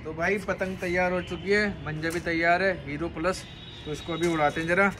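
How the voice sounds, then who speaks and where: High-voiced young man on a rooftop. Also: brisk, noisy background. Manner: excited rooftop chatter, shouting during kite fights. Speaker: vlogger. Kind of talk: casual kite-flying vlog. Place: outdoor rooftop.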